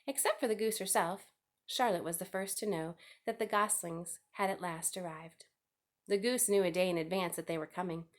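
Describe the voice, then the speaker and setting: A woman narrating a children's story as a read-aloud. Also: mid-range voice. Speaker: storybook reader.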